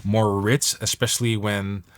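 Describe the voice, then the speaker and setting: A man's voice: on the low side, little pitch movement, unhurried, noisy background. Man casually presenting a music production tutorial.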